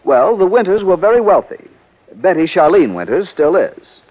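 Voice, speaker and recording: A man's voice: sits high, very animated, normal speed. An actor playing a formal, easily scandalized office man in a 1940s radio drama. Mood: prim. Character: prim, proper male insurance office man. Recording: muffled old radio broadcast.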